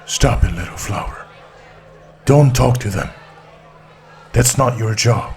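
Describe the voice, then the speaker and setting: Man as a slow, menacing male hijacker. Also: lowish voice, animated, creaky, a little mellow, slight background noise, voice pushed up, exaggerated voice. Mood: commanding. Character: menacing bearded male hijacker, domineering and taunting.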